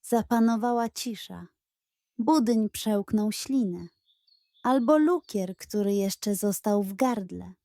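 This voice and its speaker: A woman narrating a children's bedtime story in an expressive, scripted storytelling style. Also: mid-range voice, slow, dry recording, studio quality.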